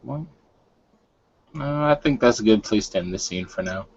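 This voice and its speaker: A man's voice: on the low side, lively intonation, normal speed. A man casually narrating the action of a tabletop roleplay game. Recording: dull, lo-fi online call.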